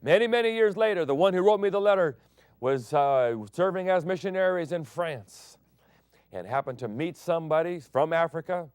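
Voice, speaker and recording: Dynamic preacher man, loud then hushed. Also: a little high, moderate pace, no echo, clean studio sound. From Thailand. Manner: swings between loud emphatic declarations and soft hushed phrases. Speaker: pastor. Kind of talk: sermon broadcast.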